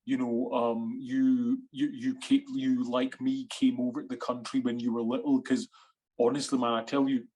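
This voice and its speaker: A man's voice: mid-range voice, normal speed. A chatty young man with a Scottish accent. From Sri Lanka. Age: 20s. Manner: animated, rambling, many fillers and restarts. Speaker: guest actor and writer. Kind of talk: casual interview chat.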